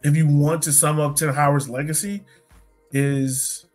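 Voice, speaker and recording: American male podcast co-host, higher-pitched, casual and emphatic, conversational sports talk. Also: level tone, measured pace, darkish tone, soft background music. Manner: colloquial, emphatic, repeats points for effect.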